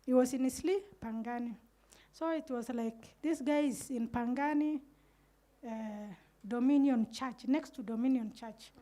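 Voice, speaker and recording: A woman's voice: a little high, measured pace, mellow. A middle-aged woman with a Kenyan Somali accent speaks earnestly on a panel, recounting events with quoted dialogue into a handheld microphone. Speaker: community radio manager on a panel. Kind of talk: panel talk, storytelling. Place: indoor room with curtains.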